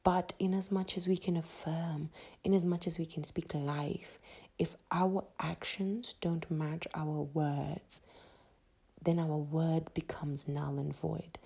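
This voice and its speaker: A low-voiced woman from Zimbabwe hosts a solo motivational podcast. Her delivery is emphatic and repetitive, like a preacher driving a point home. Her tone is dark and gravelly, with a husky, breathy edge. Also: steady tone, slow. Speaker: podcast host.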